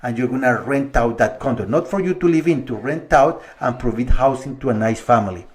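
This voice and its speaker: A man's voice: mid-range voice, brisk. Middle-aged man explaining finances. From Colombia. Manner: conversational, walks through numbers step by step, slight restarts. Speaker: mortgage broker. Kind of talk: explanatory video monologue to viewers.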